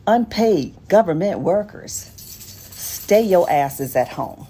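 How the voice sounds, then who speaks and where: A woman's voice: lowish voice, expressive, slow pace, dark tone, husky. An American woman on a casual political rant, blunt, sardonic and profane, in a noisy clip recording.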